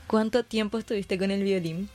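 A woman's voice: mid-range voice, steady tone, moderate pace, darkish tone. A woman with a Paraguayan Spanish accent presenting a live radio show and interviewing as its host.

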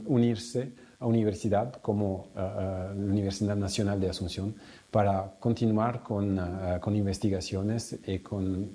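A male researcher speaking in a halting way, with many fillers and restarts. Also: fairly low pitch, warm tone. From Italy. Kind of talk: interview remarks.

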